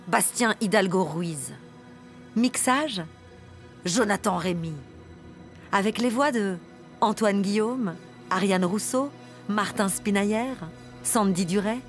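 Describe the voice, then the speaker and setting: Woman reading radio credits over music. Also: mid-range voice. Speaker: credits announcer.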